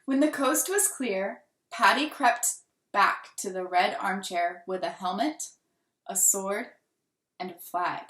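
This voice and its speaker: A woman reading a children's picture book aloud with deliberate, dramatic emphasis and expressive delivery. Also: medium pitch. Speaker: children's storybook reader. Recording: roomy, echoing room sound.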